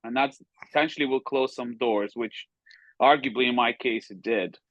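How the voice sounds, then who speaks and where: A man's voice: medium pitch, normal speed, no echo, lo-fi sound. A man from Kazakhstan speaks, sometimes stopping to search for a word. He laughs at himself as he talks. The sound is dry and close. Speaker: podcast guest, former hockey player. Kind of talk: casual chat.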